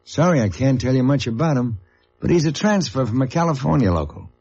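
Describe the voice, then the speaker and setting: A man's voice: mid-range voice, expressive, a little fast, darkish tone, breathy edge. A businesslike American union official in an old radio play. He is cooperative and plain in manner, with a mid-range voice. The sound is muffled like a dated recording. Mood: matter-of-fact. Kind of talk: 1950s radio drama acting.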